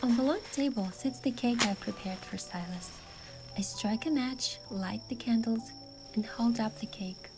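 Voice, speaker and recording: A woman's voice: fairly high pitch, measured pace, rough recording. A woman reading a scripted first-person story as narrator, with acted lines of dialogue. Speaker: narrator and voice dubber of a game story. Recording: music behind the voice.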